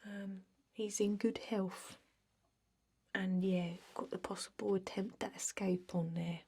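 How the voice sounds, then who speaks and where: A woman playing a chatty energy vampire office clerk in an ASMR roleplay, speaking softly and often whispering, with a hesitant, rambling, drily jokey manner. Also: mid-range voice, unhurried. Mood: rambling, resigned. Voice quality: soft, breathy, often whispered. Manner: hesitant, rambling, deadpan workplace small talk.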